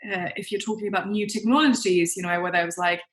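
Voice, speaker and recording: A woman's voice: medium pitch, fairly even intonation, quick pace. A young adult woman who grew up in New Zealand and Germany, giving chatty, conversational interview answers peppered with "like" and "you know". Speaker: guest, marketing consultant.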